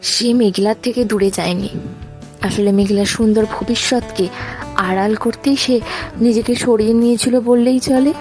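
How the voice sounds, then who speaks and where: A woman's voice: fairly high pitch, fairly even intonation, normal speed, darkish tone, soft background music. A woman reading scripted narration for a romantic audio story.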